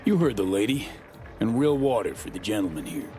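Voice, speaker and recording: Game role: man as smooth undercover merc, low voice with very lively inflection. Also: a little fast, faint music behind, close to an everyday voice. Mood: casual charm. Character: smooth, laid-back male mercenary working undercover. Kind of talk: video game cutscene acting.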